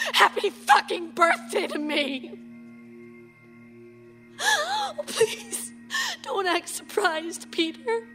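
A woman's voice: very high-pitched, sharp tone, exaggerated voice. A woman acting a despairing, tender but bitter grieving mother in an emotional radio drama. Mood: anguished. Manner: sweary, intense, wounded delivery. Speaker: actress in a radio play. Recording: long hall reverb, background noise.

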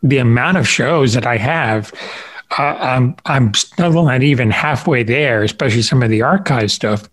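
A man hosting a podcast interview in a casual, rambling way, often halting as he thinks aloud. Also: mid-range voice, a bit bright. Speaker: podcast host interviewing a guest.

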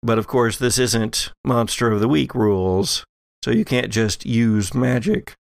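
A man acting as game master, narrating and refereeing a tabletop game in a deliberate way with thoughtful pauses. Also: medium pitch, creaky, no echo, studio quality.

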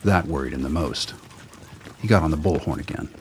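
A man's voice: a bass voice, animated, a little fast, darkish tone, slight background noise. A man reading scripted narration for a radio drama.

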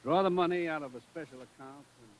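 An actor playing an earnest, anxious middle-aged government commissioner in old-time radio drama style. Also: male voice, on the high side, quick pace, natural voice, poor audio. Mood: decisive. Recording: vintage radio drama recording.

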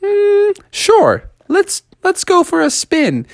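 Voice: very high voice, huge pitch swings, slow, creaky, no room sound, clean studio sound, voice pushed up, exaggerated voice. A man voicing a demanding young female friend of a frog in a children's story. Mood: sweet, delighted.

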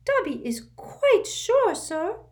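Squeaky, eager, servile, male house-elf, broken grammar, very high, put on by a woman reader. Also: very animated, slow, darkish tone, full character voice. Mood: urgent, squeaky. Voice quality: squeaky, broken grammar, says sir constantly.

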